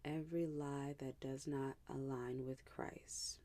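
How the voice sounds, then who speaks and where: Slow, low-voiced woman guiding meditation. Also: fairly even intonation. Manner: hushed, gentle, unhurried, with pauses. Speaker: meditation guide.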